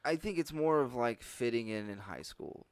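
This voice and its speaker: A man's voice: mid-range voice, expressive, moderate pace, a crowd in the background. A man from Texas hosting a casual podcast, rambling with lots of "like" and false starts.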